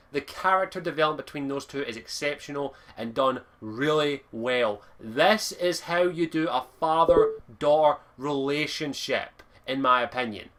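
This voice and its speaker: Sweary young Lowland Scottish man. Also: bright, forceful tone. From Scotland. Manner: enthusiastic, frequent swearing, restarts and fillers. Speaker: game reviewer. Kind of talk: casual video game review monologue.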